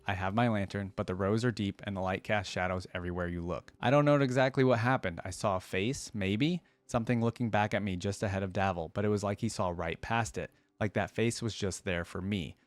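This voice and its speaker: A man reading as a terrified village militiaman, a lone survivor, in halting, dramatic short phrases. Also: lowish voice, dry recording, lightly acted.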